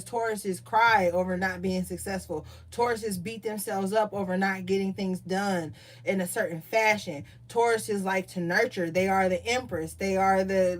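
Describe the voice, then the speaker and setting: A woman giving a casual tarot reading, chatty and profane, reading card meanings aloud more calmly. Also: mid-range voice. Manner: loose, profane, chatty asides. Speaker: tarot reader.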